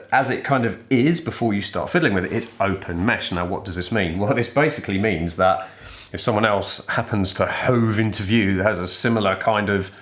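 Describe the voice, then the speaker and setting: A middle-aged British man giving an informal product review to camera in a chatty, irreverent way with casual slang. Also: on the low side. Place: indoor studio set styled as a workshop.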